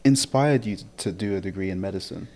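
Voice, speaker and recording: A man's voice: sits low, fairly quick, darkish tone. A young man, interviewing casually as a podcast host.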